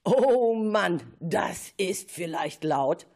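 Low, husky woman narrator, very animated. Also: very slow. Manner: expressive, playful. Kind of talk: children's story narration with playful asides.

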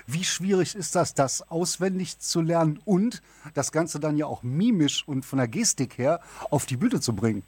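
A man's voice: on the high side, lively intonation, moderate pace, darkish tone, husky, dry recording. A man interviewing a guest for a culture magazine, asking informal, curious questions and thinking aloud.

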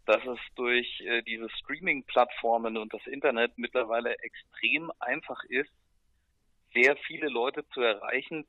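A man speaking casually and colloquially as a musician in an interview, with hesitant pauses and filler sounds. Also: mid-range voice, no room sound, narrow, tinny audio. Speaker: metal band musician, interview guest.

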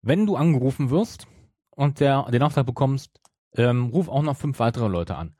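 A man's voice: mid-range voice, animated, moderate pace, warm tone, slightly rough, dry recording, studio quality. A middle-aged man hosting a podcast in casual chat, explaining and qualifying his points. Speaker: podcast host. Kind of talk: casual chat about an audio drama series.

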